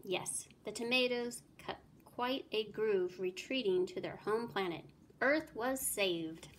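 A woman giving an expressive read-aloud of a children's storybook, like a teacher, with clear background noise. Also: medium pitch, slow.

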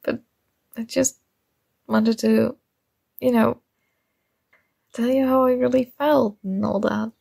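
A shy, nerdy young female classmate, acted by a woman in an ASMR romance roleplay, talking very slowly with halting awkwardness. Her voice sits a little high with a creaky edge. She sounds flustered and smitten, in a close, dry recording. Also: steady tone, a little mellow, no echo, heavily acted. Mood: tender, sincere.

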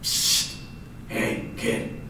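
A man voicing a gruff, wheedling monster from a children's story, half hissing as it pleads. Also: extremely slow. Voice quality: gruff, hissed. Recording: distant, very echoey room, noisy.

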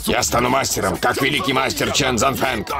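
A man's voice: medium pitch, brisk, gravelly, close to an everyday voice. Brief: male actor as brash tiger dreaming of glory, grand boastful declarations, children's cartoon, heavy music underneath. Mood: excited. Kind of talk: dubbed children's cartoon acting. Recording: loud music behind the voice.

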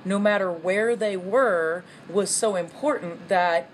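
A woman in her thirties explaining meal prep in a casual teaching talk from her kitchen, often checking in with "okay?" and filling pauses with "um". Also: medium pitch, slight background noise, rough recording. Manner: chatty, frequent "okay?" check-ins and "um" fillers. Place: home kitchen, indoors.

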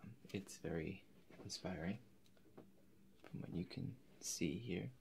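A young man with a very low, creaky voice plays an eccentric painter in an ASMR roleplay. He speaks softly and haltingly, sometimes dropping to a whisper. There is clear noise behind him. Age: 20s. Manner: hesitant, halting, slipping into whispers. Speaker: ASMR performer. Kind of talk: soft-spoken ASMR roleplay. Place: indoors in front of a forest backdrop.

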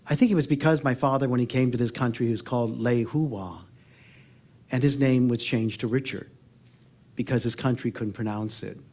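A man in his sixties with an American accent speaks as a public speaker on stage. His pitch is middling. He tells personal stories in an earnest, reflective way, leaving pauses and softening the ends of his phrases. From Oakland, California, United States. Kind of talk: personal storytelling talk on stage. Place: theatre stage before a seated audience.

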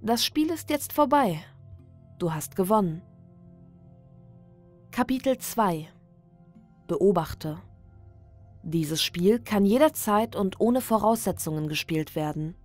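Woman reading creepy ritual instructions, slow and deliberate narrator style, mid pitch, bit of music behind. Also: expressive. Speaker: horror story narrator. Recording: dry studio voice over background music. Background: background music.

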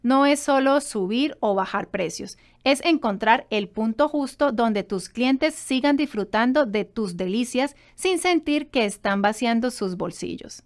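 A woman in her thirties giving a scripted instructional presentation, addressing the viewer directly in a clearly structured way. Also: a little high. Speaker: presenter of business advice videos. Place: indoor studio in front of a backdrop.

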